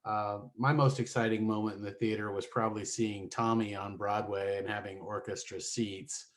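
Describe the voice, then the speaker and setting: A man with a fairly low voice speaks in a modest, relaxed way. He sounds like a participant on a video call meeting. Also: moderate pace. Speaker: committee member. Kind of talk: casual self-introduction.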